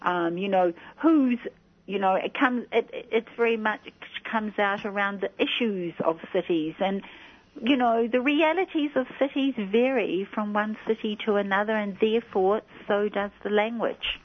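A woman, a guest on a radio talk show, who explains things in a measured way. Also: mid-range voice. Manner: measured and explanatory, often saying "you know". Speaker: guest speaking on migrant job-seeking. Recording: dull lo-fi radio recording.

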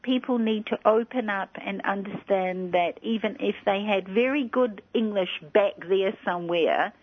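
A woman speaks as a guest on a radio talk show. She explains at a steady, measured pace in a middle-pitched voice. The recording sounds dull and lo-fi, like an old radio broadcast. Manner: measured and explanatory, often saying "you know". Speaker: guest speaking on migrant job-seeking.

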